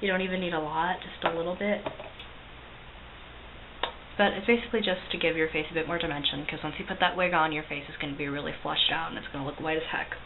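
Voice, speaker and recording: A young woman with a slightly low voice talks through a makeup tutorial in a chatty, self-deprecating way, with plenty of ums. The sound is thin and phone-like, with a loud steady hiss underneath. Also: fast talker. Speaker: cosplay makeup vlogger. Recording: tinny lo-fi recording with loud hiss.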